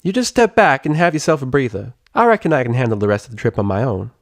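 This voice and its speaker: Kindly farm mare, acted by a man, Southern US drawl, raised pitch, folksy and fussing; storybook fan fiction, very dry sound. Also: big pitch swings, fast, no room sound. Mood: helpful, assured. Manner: folksy, warm-hearted, fussing over others. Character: friendly, motherly country farm mare, folksy and helpful. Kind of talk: character voice in a fan fiction reading.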